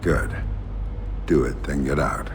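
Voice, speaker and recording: An actor playing a gruff, world-weary middle-aged covert operative in a video game, speaking tersely with dry authority. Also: male voice, takes it slowly, a little hiss or hum. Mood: interrogating, curt. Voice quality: very deep, gravelly. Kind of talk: video game cutscene acting. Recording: loud music behind the voice.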